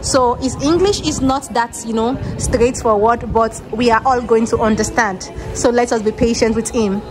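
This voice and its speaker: A woman vlogger interviewing students, patient and encouraging, rephrasing her questions slowly. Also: on the high side, steady tone, music in the background. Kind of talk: casual on-camera interviews.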